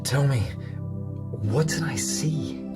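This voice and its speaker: A woman playing a drugged, obsessive scientist in an audio drama, halting and emotional, swinging between dread and grandiose fervour. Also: very deep voice. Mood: frightened, confused. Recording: music under the voice.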